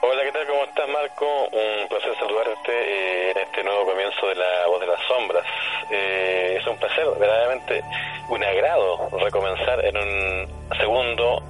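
A man's voice: on the low side, normal speed, fairly bright tone, faint music behind. A man with a Chilean accent chatting politely and formally as a radio guest in a phone interview. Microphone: telephone. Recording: tinny, narrow telephone line.

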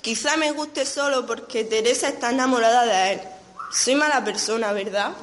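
A young female student plays a lovestruck teenage girl with a fairly high voice. She is secretive and guilt-ridden, torn between friendship and love in an amateur radio soap. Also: normal speed, a bit bright, a little hiss or hum, lightly acted. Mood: confessing, hesitant. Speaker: student radio drama actor. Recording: amateur school radio play recording.

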